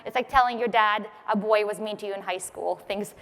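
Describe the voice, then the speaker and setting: A woman from Ukraine who grew up in Israel, a non-native English speaker, giving a founder's conference pitch built on personal storytelling. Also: steady tone, fairly quick, fairly bright tone. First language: not English. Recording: reverberant hall.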